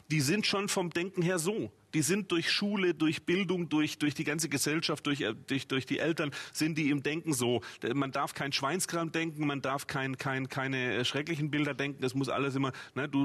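Guy from swabia, 40s, kinda husky, explaining stuff eagerly in a tv interview, repeats himself a lot. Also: fairly high pitch, normal speed, a bit bright. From Swabia, Germany. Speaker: memory trainer and author, guest. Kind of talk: animated explanatory interview talk. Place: TV studio at a table.

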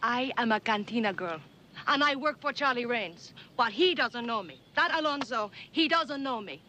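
A woman's voice: on the high side, animated, normal speed, bright, forceful tone, a little hiss or hum. An actress playing a fiery, bitter cantina girl who speaks in broken English, in an old screen drama. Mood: bitter, hurt. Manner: broken, non-native English phrasing. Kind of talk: dramatic mid-century screen acting.

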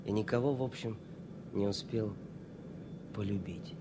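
An actor playing a regretful young airman afraid of dying, halting and wistful, in a 1970s Soviet radio play. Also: lightly acted. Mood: fearful, wistful. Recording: noisy vintage radio recording with rumble.

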